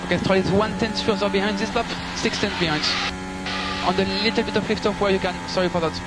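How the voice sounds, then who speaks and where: A high-pitched male race engineer talks over team radio with very loud car noise behind him. He gives clipped, procedural updates and often repeats his instructions. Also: steady tone, quick pace.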